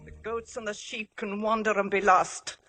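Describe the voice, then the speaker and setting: A woman's voice: mid-range voice, little pitch movement, moderate pace, over music, close to an everyday voice. An actress playing a pious, scolding old village mother in a 1950s radio drama, solemn and reproachful. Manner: solemn, reproachful, old-fashioned biblical phrasing. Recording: vintage 1950s radio broadcast.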